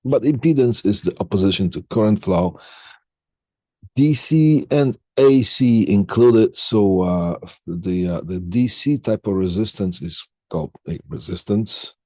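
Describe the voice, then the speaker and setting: A man with a dark, husky voice teaches a technical class in a close, dry sound. He explains things informally, one step at a time, halting as he goes and checking in with students. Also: fairly low pitch, expressive, no echo. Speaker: instructor. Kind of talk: live technical lecture with questions to students.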